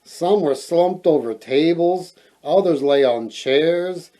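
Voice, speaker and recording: Mellow, slow-paced man telling stories. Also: fairly high pitch. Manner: playful, expressive, chuckling at own asides. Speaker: storyteller, host. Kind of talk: children's fairy tale read-aloud with joking asides.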